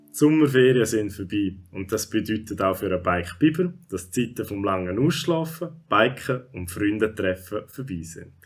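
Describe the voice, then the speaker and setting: A man's voice: lowish voice, animated, moderate pace, faint music behind. A man with a Swiss German accent narrating a scripted children's audio story.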